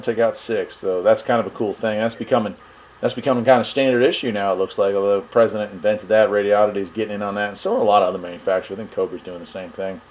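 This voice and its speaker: Male host for a casual hands-on review, slightly deep, off-the-cuff and folksy, noisy recording. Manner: informal, folksy, thinking aloud while operating a device. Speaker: host of a radio review channel. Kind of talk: casual product demonstration. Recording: clear steady background noise.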